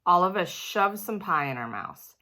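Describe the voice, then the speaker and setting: Woman, deep-toned voice, children's book reading, storyteller, animated and childlike delivery. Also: normal speed. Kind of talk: expressive children's storybook read-aloud.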